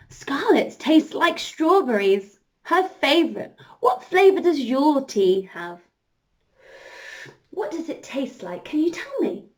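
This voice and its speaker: Female children's entertainer, high register, slow delivery, expressive interactive storytelling with encouraging prompts to kids.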